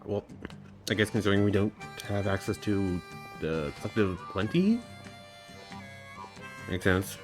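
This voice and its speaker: A woman's voice: a bass voice, a little slow, long pauses. A woman giving casual commentary while streaming a video game. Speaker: gaming streamer. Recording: game music behind voice. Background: video game music.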